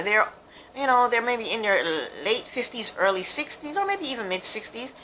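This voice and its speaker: A woman's voice: fairly high pitch, pushed and bright, slight background noise, poor audio. A woman from Jamaica giving a chatty, frank, opinionated recap of a reality show, with plenty of ums and asides. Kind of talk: casual monologue, opinionated recap.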